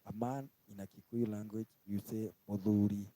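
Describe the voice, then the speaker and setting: A man from Kenya with a Kenyan accent, giving a casual language lesson and slowly repeating each word. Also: lowish voice, little pitch movement, warm tone, no echo, low-quality recording. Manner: slow, repetitive, spells out each word twice. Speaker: language teacher. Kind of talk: informal live-stream language lesson.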